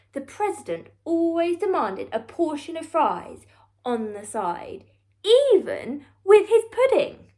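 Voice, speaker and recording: A woman narrates a children's story in a high, very animated voice. She takes her time, leaning on key words with theatrical emphasis, like a scripted storybook reading.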